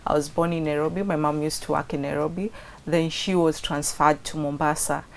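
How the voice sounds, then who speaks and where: A young adult woman with a Kenyan coastal accent, talking in a chatty, animated way as an interview guest. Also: low voice, a little fast, slight background noise. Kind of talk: casual interview.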